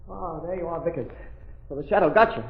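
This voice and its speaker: An actor playing an anxious, vengeful young suitor in 1930s radio acting style. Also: male voice, a little high, fast, creaky. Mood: anxious anger. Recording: echoey, muffled 1930s radio recording.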